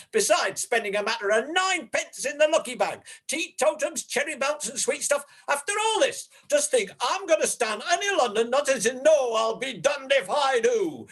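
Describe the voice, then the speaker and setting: A man's voice: very high-pitched, breathy edge, heavily acted. A man playing a blustering Yorkshire farmer. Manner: bluff, blustering, shouting at times.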